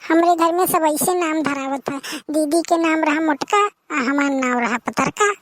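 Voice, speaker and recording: A woman's voice: high voice, a little slow, fairly bright tone, slight background noise. A woman with a rural Awadhi accent voicing garrulous, boastful village women in a bantering matchmaking comedy cartoon. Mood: boastful, chatty. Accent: Awadhi, rural northern India.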